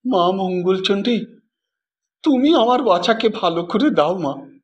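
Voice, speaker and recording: A man's voice: high voice, expressive, slow pace, lo-fi sound. A man voicing an anxious, reproachful and doting wife in a dramatized story. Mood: worried, pleading. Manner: sharp, emotional protests and laments.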